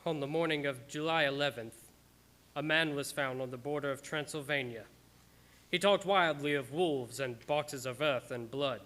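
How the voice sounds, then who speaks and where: A haunted, earnest doctor played by a man narrates his grim diary in a radio play. The voice is forceful and bright, a little high for a man. The delivery is formal, measured and theatrical. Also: natural voice. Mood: formal, composed.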